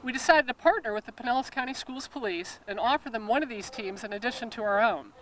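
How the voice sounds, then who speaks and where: A woman with a fairly high voice speaks as a police official, reading a prepared address. There is faint traffic and ambient noise behind her. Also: animated, fast, a bit bright, rough recording. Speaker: police chief speaking at a press conference.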